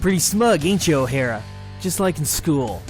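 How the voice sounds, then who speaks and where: A man acting a smug, whiny, insolent young bully in an animated drama, with a sneering delivery. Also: on the high side, moderate pace, faint music behind, natural voice.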